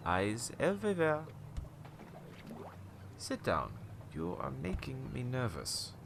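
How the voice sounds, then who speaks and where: A slow-talking, swooping old storyteller. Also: male voice, mid-range voice, wildly swooping pitch, gravelly, noisy background, exaggerated voice. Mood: wary, cryptic. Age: older. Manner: cryptic, theatrical, rambling storytelling with knowing chuckles. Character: wary, cynical old male townsman, former shopkeeper, storyteller. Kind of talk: radio play acting.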